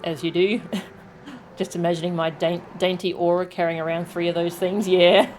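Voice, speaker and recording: Woman, game streamer, slightly low voice, casual playful commentary, music in the background. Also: little pitch movement, normal speed, darkish tone. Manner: playful, joking.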